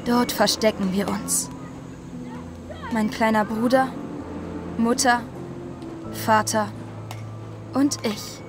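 Woman acting secretive young woman narrator, slow dramatic storytelling, highish voice, music behind. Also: fairly even intonation. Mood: mysterious, hushed suspense. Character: young woman narrating her hidden island childhood, secretive.

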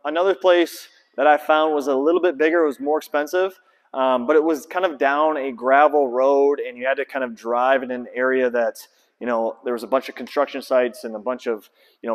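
Thirties male trainer, relaxed explainer on a lapel mic, mid pitch, reverberant empty gym. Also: moderate pace. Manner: casual, filler-heavy, explaining and pointing things out. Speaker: basketball trainer. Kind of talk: casual walkthrough vlog. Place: large empty indoor basketball gym. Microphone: clip-on lapel mic.

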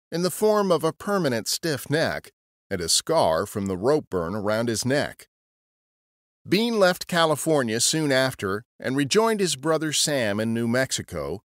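A man's voice: mid-range voice, dry recording, clean studio sound. A man narrating scripted history as a podcast storyteller. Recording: guitar music under the voice. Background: guitar music.

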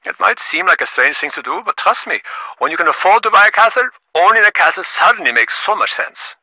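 A cocky impatient rich business dude in a game, sarcastic and stammery, highish lively voice, kinda muffled like over a radio. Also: male voice, a little fast, dry recording, exaggerated voice. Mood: smug, casual. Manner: glib, stammering, self-important, sarcastic. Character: brash, smug, impatient rich businessman on the phone. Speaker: video game character. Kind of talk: acted game dialogue.

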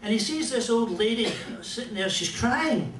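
An older man with a Scottish accent telling jokes and a rambling story at a stand mic on a small club stage, in a dry, unhurried way. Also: extremely high pitch, expressive, a bit bright, a little hiss or hum. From Scotland. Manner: dry, rambling joke delivery with pauses. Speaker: comedian and musician. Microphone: stand mic, filmed from a distant camera. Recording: roomy club sound from far off.

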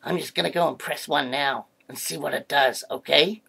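A woman acts out a playful cartoonish duck with a heavily gravelled, husky voice that sits on the low side. The duck is mischievous and curious, made for a children's comedy skit. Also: steady tone, moderate pace, a bit bright, exaggerated voice. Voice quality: creaky, husky. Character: playful, mischievous talking duck who loves pressing buttons.

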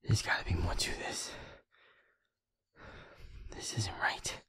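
A man playing a stubborn, hurting young werewolf boyfriend in an intimate audio roleplay, with a husky, breathy delivery that often drops near a whisper and sounds earnest and strained. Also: pushed and bright. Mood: indignant, determined. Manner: halting, earnest, emotionally strained.

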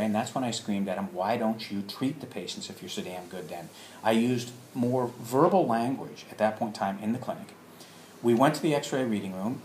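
Man, lowish pitch, halting storyteller, re-enacted dialogue, strong room echo, slight background noise. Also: darkish tone. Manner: halting, acts out remembered dialogue. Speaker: storyteller, alternative health practitioner. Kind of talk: personal anecdote told to listeners.

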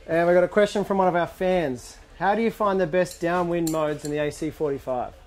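Brief: young male host, slightly high voice, cheerful and jokey, outdoor handheld vlog. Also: steady tone, a little fast. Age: young adult. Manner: cheerful, joking, reads questions aloud. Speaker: sailor hosting a fan Q&A. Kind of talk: casual on-camera chat. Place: outdoors at a boat tent by a harbour. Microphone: handheld camera close up.

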